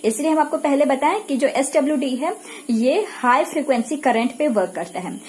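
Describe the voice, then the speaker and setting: A woman's voice: high voice, expressive, normal speed, a little mellow. A woman with an Indian accent lecturing in a didactic, repetitive way, asking questions and answering them herself. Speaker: physiotherapy lecturer. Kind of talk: explanatory lecture mixing Hindi and English.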